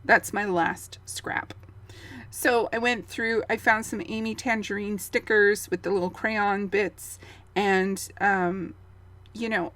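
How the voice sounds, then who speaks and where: Woman with a gravelly, fading voice. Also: medium pitch. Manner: chatty, rambling, with fillers and soft laughs. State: gravelly, losing her voice. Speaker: scrapbooking channel host. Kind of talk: casual voice-over of a craft process.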